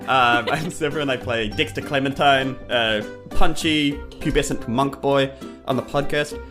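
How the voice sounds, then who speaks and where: A man's voice: medium pitch, unhurried. A man joking casually as a player on a role-play podcast, with exaggerated delivery. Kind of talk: casual comic chat. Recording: music and guitar behind the voice.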